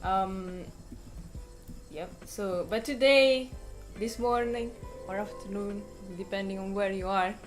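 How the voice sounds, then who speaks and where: A woman chats casually with her co-hosts, her speech full of "like" and "uh". Her pitch sits in the middle of the range, and soft music runs underneath. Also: takes it slowly. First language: Italian. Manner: chatty, frequent "like" and "uh". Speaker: co-host of a language stream. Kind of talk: casual discussion on a live stream. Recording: faint music behind the talk.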